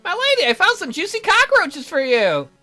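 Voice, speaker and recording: A woman's voice: very high voice, wildly swooping pitch, normal speed, pushed and bright, faint music behind, voice pushed up, exaggerated voice. A woman voicing a timid, devoted young male bird servant with a flustered, stammering manner in an amateur visual novel read-through. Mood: excited.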